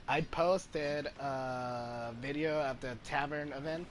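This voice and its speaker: A man giving casual live commentary as a game streamer, chatty and a little halting. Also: mid-range voice, fairly even intonation. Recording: faint background noise.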